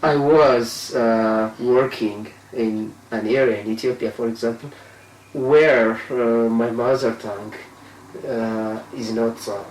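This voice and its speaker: A man's voice: mid-range voice, fairly even intonation, slow pace, darkish tone, far from the mic. Hesitant male interviewer, echoey room. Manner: short backchannels, hesitant when making his own points. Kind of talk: interview discussion. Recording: echoey room, voice off-mic, faint noise.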